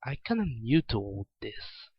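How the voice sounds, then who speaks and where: A man's voice: mid-range voice, sing-song, unhurried, slightly rough, dry recording, highs cut off. A man reading the part of a brash, confused young female pony in a story, with a blunt, casual manner. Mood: blunt, unsure.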